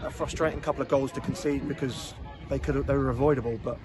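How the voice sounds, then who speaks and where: A man, a football manager giving a measured post-match interview outdoors at a football ground, with many restarts and fillers. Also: medium pitch, steady tone. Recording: loud outdoor noise.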